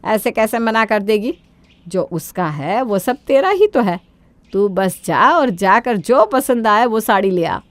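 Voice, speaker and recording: A woman voicing a greedy, domineering older mother-in-law in a cartoon story, with sharp-tongued commands. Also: medium pitch, big pitch swings, a little slow, dry recording. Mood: scheming.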